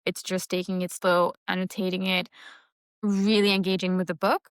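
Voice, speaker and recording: A woman's voice: lowish voice, little pitch movement, normal speed, clear, resonant tone, no room sound. A young woman, a Creole speaker, chatting casually about her plans and thinking aloud with a light, self-deprecating touch. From Mauritius. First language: Mauritian Creole. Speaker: book vlogger. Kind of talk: casual reading-plans chat.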